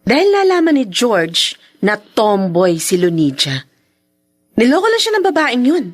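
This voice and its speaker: A wronged young woman in a Filipino radio drama, bitter and combative. Her voice sits a bit high and moves in big emotional swings. She declaims with hard, vengeful defiance. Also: wildly swooping pitch, unhurried, heavily acted. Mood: defiant, bitter.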